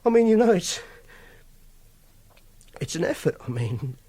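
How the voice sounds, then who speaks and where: A meek, prim young Englishman in a radio drama, with a dry, close sound. He is repressed and obsessive, stammering defensively as his pitch wanders up and down. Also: big pitch swings, measured pace, warm tone, slightly rough, no echo, heavily acted. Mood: pleading, halting. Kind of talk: BBC radio drama acting.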